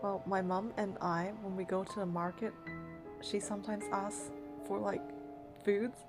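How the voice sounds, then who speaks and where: A woman's voice: medium pitch, little pitch movement, a little slow. Lady reading out game story and chatting about it, casual, faint music in the background. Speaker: game streamer reading the heroine's lines and narration. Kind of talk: casual playthrough commentary and read-aloud narration.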